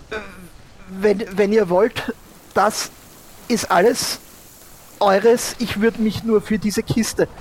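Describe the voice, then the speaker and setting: Slow, high-voiced Austrian man, hesitant. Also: low-quality recording. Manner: hesitant, repeats himself. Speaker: player in an improvised audio-play adventure. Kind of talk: improvised in-character role-play.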